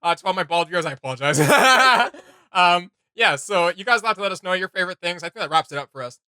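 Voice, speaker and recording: A man talking loosely through a reaction video he co-hosts, thinking aloud with frequent fillers and easy laughter. His voice is very bright and sits a little high for a man. The sound is dry and close. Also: animated, fast, smooth, dry recording. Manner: rambling, filler-heavy, laughs often. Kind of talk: casual reaction chat.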